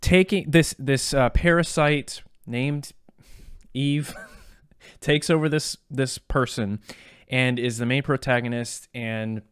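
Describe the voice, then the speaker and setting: A man's voice: mid-range voice, steady tone, slow, clear, resonant tone, no echo, clean studio sound. A man co-hosting a podcast, chatting casually about video games in a joking, self-deprecating way. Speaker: podcast co-host.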